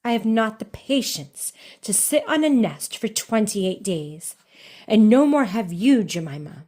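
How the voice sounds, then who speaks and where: A woman reads a brisk female farm duck from a storybook. She is impatient and blunt, scolding in a matter-of-fact way. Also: mid-range voice, lively intonation, warm tone.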